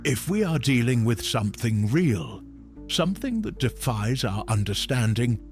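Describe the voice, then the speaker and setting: A man's voice: medium pitch, sing-song, measured pace, a little mellow, soft background music. A man voicing a determined male investigator who speaks urgently and earnestly.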